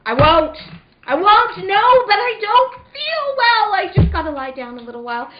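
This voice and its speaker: A woman's voice: very high voice, big pitch swings, normal speed. An actress playing a frightened, sleepless young wife who narrates her nightmares melodramatically in an amateur old-time radio drama. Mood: desperate, resisting sleep. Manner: melodramatic, swinging from hushed to crying out. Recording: dull, echoey room with faint noise.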